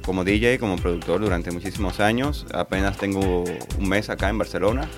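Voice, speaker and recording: A man's voice: sits low, fairly quick. An easygoing, appreciative man with a Dominican Spanish accent, answering casually as a DJ being interviewed. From Dominican Republic (Santo Domingo). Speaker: interview guest, DJ and music producer. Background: music playing behind the talk.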